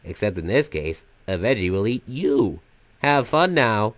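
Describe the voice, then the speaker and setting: A man's voice: lowish voice, big pitch swings, unhurried, no room sound. A man voicing a flamboyant magical trickster villain from a video game, half silly and half sinister, with florid, mock-polite theatrical delivery. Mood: taunting. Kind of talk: fan voice-over of video game villain lines.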